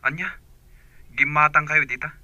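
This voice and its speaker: Exasperated brother on the phone played by a male actor, Ilocano accent, piercing forceful tone, band-limited filtered line, radio drama. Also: fairly high pitch, steady tone, moderate pace, no echo. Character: exasperated younger brother calling by telephone. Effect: telephone filter. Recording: dull filtered telephone line.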